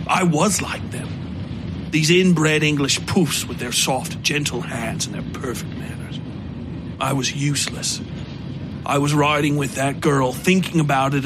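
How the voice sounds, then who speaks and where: A man voicing a pompous, scornful American gentleman who rants theatrically in a dark audio story. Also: fairly high pitch, unhurried, noisy background, lightly acted. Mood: bitter confessing. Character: ranting, scornful, drunken American man of wealth, a bigoted theorist. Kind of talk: dramatised audiobook dialogue.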